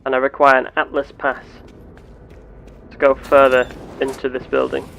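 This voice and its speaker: A man narrating his gameplay in character as an earnest, curious starship captain, with formal, deliberate wording. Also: mid-range voice, completely flat delivery, creaky, bright, forceful tone, slight background noise. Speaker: player of a roleplay game series.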